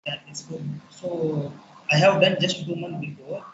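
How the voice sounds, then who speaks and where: A young man from Tamil Nadu with a Tamil accent, a native Tamil speaker practicing English in a halting, hesitant way over a call. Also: fairly high pitch, fairly even intonation, roomy sound, highs cut off, noisy background. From Tamil Nadu, India. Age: 20s. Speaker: English learner. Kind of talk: casual conversation practice.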